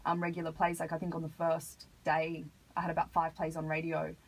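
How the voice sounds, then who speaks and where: An Australian woman with a husky voice, talking casually as an artist being interviewed on radio. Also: sits low, monotone, a little fast, rough recording. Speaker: interview guest, hip hop artist. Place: radio studio.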